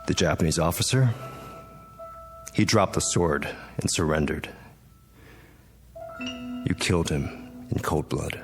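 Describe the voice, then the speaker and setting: An actor playing a troubled, quick-tempered young man in a radio drama, hesitant, brooding and intense. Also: rumbling low, over music. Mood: accusing.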